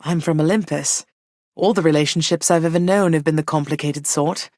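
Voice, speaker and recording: A woman voicing a gentle, thoughtful goddess queen and mother for a video game, speaking in a soft, measured, slightly formal way. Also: low-pitched, fairly even intonation, no echo, clean studio sound. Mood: tender. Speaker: voice actress for a video game character. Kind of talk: recorded game voice lines.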